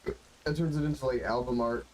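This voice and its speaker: A man's voice: medium pitch, fairly even intonation, normal speed, low-quality recording. A man giving casual, rambling live commentary as a game streamer, muttering and swearing to himself with a stuffy-sounding nose. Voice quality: stuffy-nosed. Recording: gunfire and game noise behind voice. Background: video game gunfire and ambience.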